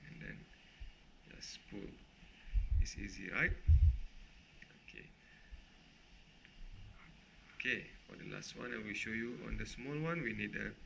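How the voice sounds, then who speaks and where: A Malay-speaking man walks through a hands-on tutorial at a table, his voice somewhat low and heavily gravelly. He moves along very slowly, stopping often on "okay" and "uh" as he works. An action camera aimed down at his hands picks him up with a faint hiss behind. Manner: slow, halting, frequent "okay" and "uh" fillers. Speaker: fishing knot demonstrator. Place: indoors at a table, close over a grey felt mat. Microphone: action camera pointed down at his hands.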